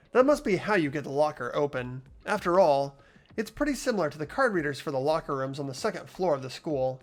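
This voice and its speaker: Amateur acting a hesitant schoolboy, earnest, lively intonation, thinking aloud, visual novel game, faint music behind. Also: medium pitch, natural voice. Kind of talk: visual novel voice-over reading.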